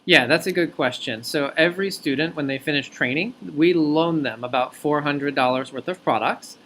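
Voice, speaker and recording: A man with a slightly high voice speaks as a job recruiter on a call, over faint background noise. He explains in an organized, articulate way, laying out his answers point by point. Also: moderate pace, pushed and bright. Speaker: company recruiter interviewing an applicant by phone. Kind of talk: explanatory job interview talk.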